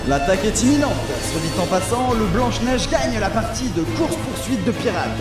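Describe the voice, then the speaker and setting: A man's voice: high voice, animated, a little fast. A man narrating a pirate audio drama in a playful, teasing way. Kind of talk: jokey scripted narration. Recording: echoey room with music.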